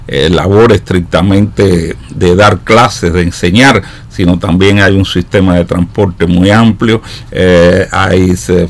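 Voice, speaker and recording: Male interviewer, fairly low pitch. Formal and wordy, feeling his way through long questions with frequent hesitation.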